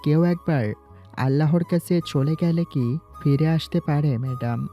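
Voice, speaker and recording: Male actor as calm young student, guarded and polite; muffled lo-fi sound, faint music. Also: on the high side, measured pace. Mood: sad, sincere. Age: young adult. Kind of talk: Bengali audio story acting.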